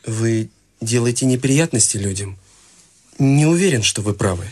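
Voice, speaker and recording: A man's voice: medium pitch, animated, moderate pace, a little mellow, natural voice. An actor playing Harold, a timid, hesitant young man, in a radio play. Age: young adult. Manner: hesitant, uncertain.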